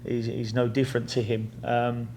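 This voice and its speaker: A man's voice: medium pitch, monotone, normal speed, a little mellow, a little hiss or hum. A middle-aged man with an English accent, a head coach answering press questions in a plain-spoken way, often repeating words and saying "you know" as he thinks.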